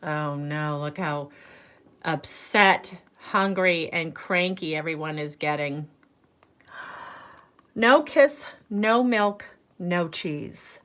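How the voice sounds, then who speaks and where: Unhurried woman reading a children's story. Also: sits low. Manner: animated, teacherly, pauses for questions. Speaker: storyteller reading a picture book aloud. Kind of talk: expressive children's read-aloud with asides to listeners.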